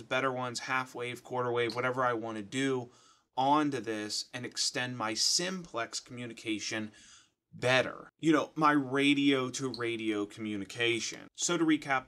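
A man's voice: mid-range voice, pushed and bright. American man explaining things casually. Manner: plain-spoken, instructive. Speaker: host of an emergency radio how-to channel. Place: small furnished room at home, indoors.

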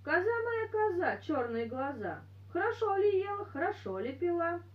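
A woman voicing a fond old grandfather from a folk tale, questioning his goat in a loud singsong chant. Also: very high-pitched, big pitch swings, normal speed, highs cut off, pitched above the performer's own voice. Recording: roomy, distant camera microphone.

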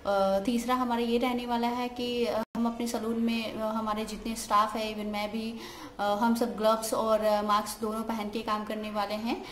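A woman's voice: on the high side, flat delivery, moderate pace. Hesitant young woman in an echoey room. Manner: hesitant, many "uh" fillers, mixes English words. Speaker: salon owner. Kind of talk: casual talk to camera. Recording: echoey room, faint background hiss.

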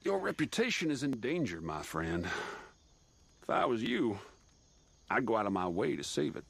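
An actor playing a shady frontier schemer in a video game cutscene, with a gravelly, husky voice. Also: male voice, mid-range voice, big pitch swings, moderate pace, fairly bright tone, no room sound, exaggerated voice. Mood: sly warning.

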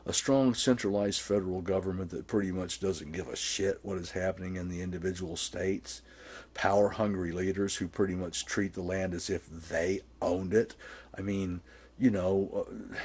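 Man, sixties, low pitch, husky, rambling, blunt, profane, camera at arm's length, small garage workshop. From born and raised in Germany. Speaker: vlogger. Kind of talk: casual rambling monologue to camera. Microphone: camera held close at arm's length.

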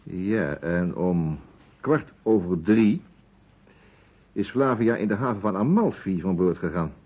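Methodical radio-play detective, low lively voice, courteous, deliberate, French interjections. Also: male voice, husky, full character voice. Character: methodical male private detective, courteous, unhurried, precise. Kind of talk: vintage Dutch radio play acting. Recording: muffled phone-like old radio recording.

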